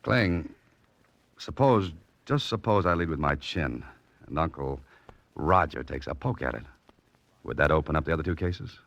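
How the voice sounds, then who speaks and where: An actor playing a wry, self-mocking mystery writer and adventurer in 1940s radio drama style, with dry, sardonic, clipped delivery. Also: male voice, very deep voice, animated, normal speed, pauses often, a bit bright, dry recording, heavily acted. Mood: dryly determined. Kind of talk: 1940s radio drama acting with hard-boiled narration.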